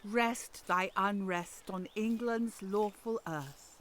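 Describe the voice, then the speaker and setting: A woman's voice: mid-range voice, takes it slowly, a little hiss or hum, lightly acted. A woman playing an aged, sorrowful royal mother in an audio drama, speaking in a weary, mournful way. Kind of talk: Shakespearean audio drama acting.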